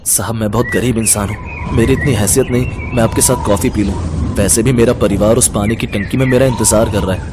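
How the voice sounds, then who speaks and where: A man's voice: lowish voice, steady tone, a little fast, heavy background noise, close to an everyday voice. A man playing a poor, resigned, earnest family father in a cartoon story. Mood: humble.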